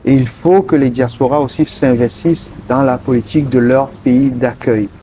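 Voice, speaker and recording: Earnest man, mellow voice. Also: medium pitch, fairly even intonation, slight background noise, rough recording. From Togo. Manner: earnest, pauses often mid-sentence to find words. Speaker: interview guest, politician. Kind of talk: earnest interview answers.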